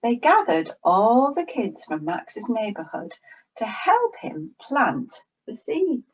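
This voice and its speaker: A woman reads a children's picture book aloud. She takes her time, letting her pitch rise and fall expressively, and her voice is a little breathy. The recording sounds somewhat dull. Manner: slow, expressive storytelling. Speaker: storyteller. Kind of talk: reading a children's story aloud.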